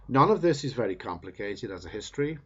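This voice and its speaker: A man reading a book aloud in measured, emphatic phrases that trail off at the ends of sentences. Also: on the low side, sing-song, a bit bright, slightly rough, lo-fi sound. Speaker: reader.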